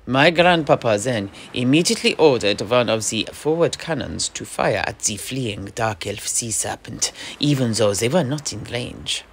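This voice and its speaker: A man playing a courteous mercenary knight, telling a fantasy sea tale in a formal but halting manner. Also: mid-range voice, expressive, slight background noise, close to an everyday voice. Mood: dramatic storytelling. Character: courteous mercenary knight retelling his grandfather's sea adventure. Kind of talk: in-character fantasy storytelling.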